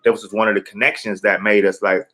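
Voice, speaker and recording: A man in his fifties with a fairly low voice. He talks loosely and rambles, leaning on fillers like "you know" as he recalls things in an interview. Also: little pitch movement, fast talker, sharp tone. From South Central Los Angeles. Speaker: guest rapper. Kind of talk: casual interview talk.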